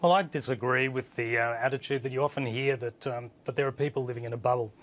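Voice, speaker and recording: A man with a husky voice, a guest on a TV panel, plain-spoken and hesitant with many fillers. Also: mid-range voice, steady tone, fast talker, a bit bright. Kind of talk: live TV panel discussion. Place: remote studio link.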